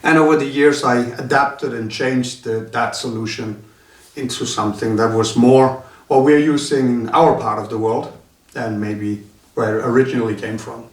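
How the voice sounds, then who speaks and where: A man from Denmark, a martial arts instructor talking in a casual interview, halting with many filler sounds. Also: medium pitch, fairly even intonation. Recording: roomy sound from a distant mic.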